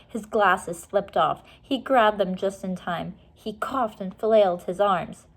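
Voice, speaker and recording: A woman's voice: mid-range voice, slow. A woman reading a children's storybook aloud expressively, explaining things simply and casually to young listeners.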